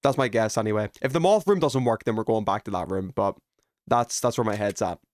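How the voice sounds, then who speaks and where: A man's voice: on the low side, brisk, a little mellow, no echo, clean studio sound. A man in his twenties chatting casually on a gaming livestream, rambling informally and talking to himself as he plays. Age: 20s. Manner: rambling, informal, self-talking while playing. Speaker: video game streamer.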